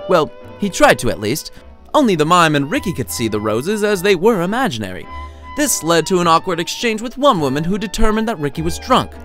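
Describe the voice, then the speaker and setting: Animated, highish-voiced male storyteller. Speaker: author reading his own short story. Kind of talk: scripted comedic story narration.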